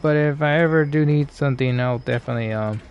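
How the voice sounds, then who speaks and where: A man giving low-key, casual live commentary as he streams a game, musing to himself. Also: quick pace, darkish tone, clean tone, dull audio.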